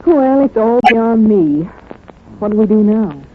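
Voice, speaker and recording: An actress playing a loyal, skeptical detective's assistant in a 1940s radio drama, with a questioning delivery. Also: female voice, on the high side, expressive, unhurried. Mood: doubtful. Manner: questioning, doubtful. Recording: tinny, noisy old radio recording.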